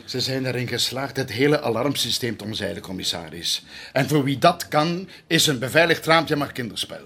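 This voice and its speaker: An actor with a Flemish accent playing a curt, stiff, defensive museum security chief in an audio drama. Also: male voice, medium pitch, expressive, normal speed, vocal fry, bright, forceful tone. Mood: indignant. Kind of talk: naturalistic audio drama acting.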